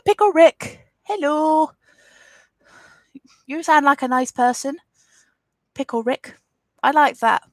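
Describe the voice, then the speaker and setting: A woman's voice: high voice, a bit bright, no room sound. A woman in her twenties with an Essex accent, sniffly from a cold, chatting casually through a live Q&A and answering comments frankly. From England. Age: 20s. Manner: chatty and rambling, frank and defiant with trolls. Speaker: YouTuber hosting a live Q&A. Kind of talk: casual live-stream chat reading comments.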